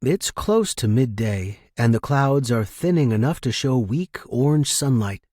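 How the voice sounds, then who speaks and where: Male narrator for dark first-person fiction: husky, lowish pitch, grave dramatic delivery, close dry recording. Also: animated, unhurried, dry recording. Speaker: story narrator.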